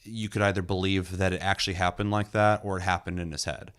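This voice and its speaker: Low-voiced man chatting about a film. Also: level tone, fast talker, a bit bright. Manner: loose and enthusiastic, many fillers, occasional swearing. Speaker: movie reviewer, podcast host. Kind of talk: casual film review chat.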